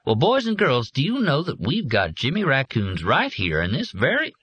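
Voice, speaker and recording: A man's voice: mid-range voice, huge pitch swings, moderate pace, a bit bright, breathy edge, highs cut off, voice pushed up, heavily acted. A man voicing a folksy male teaching machine that lectures children in a sing-song way.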